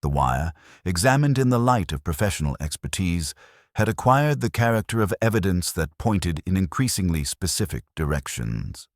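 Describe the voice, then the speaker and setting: A man narrating a scripted mystery story in a measured, formal, literary manner. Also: deep voice, sing-song, dry recording, studio quality. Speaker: audiobook narrator.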